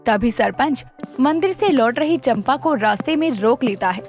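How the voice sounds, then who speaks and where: High, lively woman storyteller. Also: normal speed, soft background music. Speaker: narrator. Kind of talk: scripted dramatic story narration for animation.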